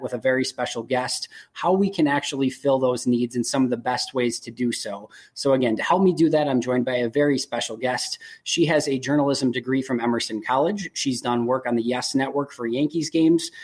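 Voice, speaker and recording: A young man hosting a sports podcast, upbeat and eager, introducing a guest and asking relaxed questions. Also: mid-range voice. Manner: upbeat, eager, says "really" a lot. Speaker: podcast host.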